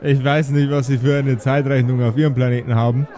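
A man playing a courteous, matter-of-fact cook with metal limbs, formal and measured, in a tabletop audio game. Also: mid-range voice, little pitch movement, mellow, lightly acted.

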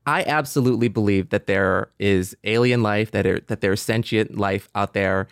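A man's voice: fairly low pitch, moderate pace, clean tone, no room sound, clean studio sound. A man talking casually in a panel discussion, explaining his ideas in an earnest, expansive way.

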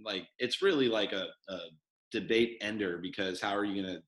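A man's voice: lowish voice, a little fast, fairly bright tone, reverberant. A man hosting a casual podcast, talking loosely with lots of fillers and restarts. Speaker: podcast host. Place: studio headquarters room.

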